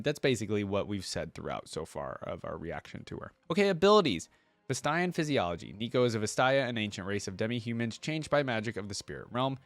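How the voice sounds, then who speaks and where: A man's voice: on the low side, no room sound. A man hosting a reaction video, reading text aloud and adding casual, conversational commentary.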